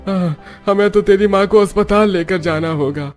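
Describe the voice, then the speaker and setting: A man's voice: high-pitched, moderate pace, faint music behind, lightly acted. A man voicing a concerned middle-aged father in a cartoon moral story. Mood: worried.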